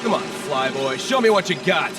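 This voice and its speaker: Man as a high-voiced, brash rival racer. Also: expressive, moderate pace, natural voice. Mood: taunting. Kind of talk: animated video game acting. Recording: distant voice under loud game music. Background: loud music, engine noise.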